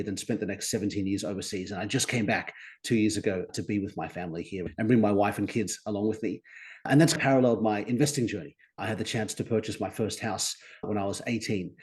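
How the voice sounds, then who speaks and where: Fast-talking Australian man, low voice. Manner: upbeat and articulate, animated emphasis. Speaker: podcast guest. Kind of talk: conversational interview.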